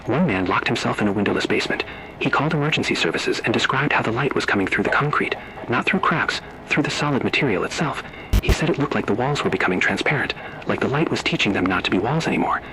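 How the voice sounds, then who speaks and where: A man reading scripted analog horror narration in a solemn, deliberate, ominous manner. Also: lowish voice, sharp tone, a little hiss or hum, rough recording.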